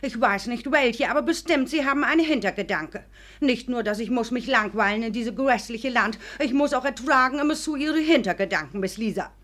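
An actress in a German radio play, playing a prim, easily offended English spinster governess with an English accent, stiff manner and broken German. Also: female voice, fairly high pitch, brisk, a bit bright, close to an everyday voice. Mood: affronted, stiff.

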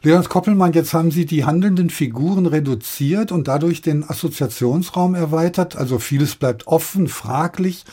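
Composed male radio host, slightly husky, mellow. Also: fairly high pitch, normal speed, few pauses. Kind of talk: prepared broadcast interview questions.

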